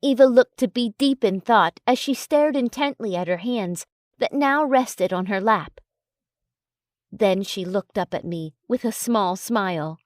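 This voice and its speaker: A woman narrating an audiobook in a calm, even storytelling manner. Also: medium pitch, unhurried, no echo, studio quality. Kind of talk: audiobook narration of a romance novel.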